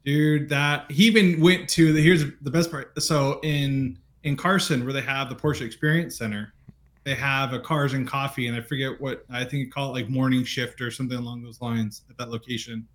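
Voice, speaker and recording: Relaxed man hosting a car chat. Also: medium pitch, normal speed. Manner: relaxed, rambling, hesitant restarts. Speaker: host.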